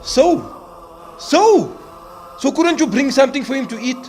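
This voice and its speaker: An actor playing a bitter, hot-tempered young husband with a South African accent in an audio drama. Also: male voice, very high voice, big pitch swings, measured pace, a little mellow, soft background music, full character voice. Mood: irritated, scolding. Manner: agitated, sarcastic, colloquial.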